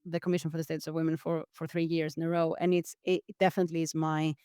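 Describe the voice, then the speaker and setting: Earnest woman guest, smooth dry voice. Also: deep voice, level tone, quick pace, mellow, no room sound. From Greece. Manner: earnest, with frequent restarts and repeated words. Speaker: podcast guest. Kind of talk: reflective interview talk.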